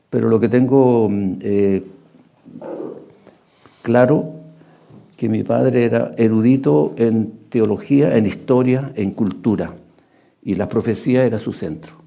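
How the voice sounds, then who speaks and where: Male preacher, very dark mellow tone with creaky edge, reflective and halting delivery, reads scripture in short phrases. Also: lowish voice. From Argentina, Paraguay and Chile. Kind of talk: sermon with Bible readings.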